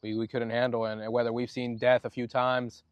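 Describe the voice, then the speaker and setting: A soft-spoken man from Oceanside, California, speaking as a podcast guest. His voice is smooth and resonant at a middle pitch. He talks reflectively, with frequent restarts, and the sound is close and dry. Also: flat delivery, normal speed, no echo. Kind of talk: casual podcast conversation.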